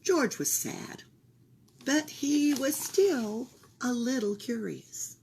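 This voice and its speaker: A woman reading a children's picture book aloud for a library storytime, expressive and sometimes dropping into a whisper. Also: on the high side, takes it slowly, slight background noise. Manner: expressive, drops into whispers for suspense.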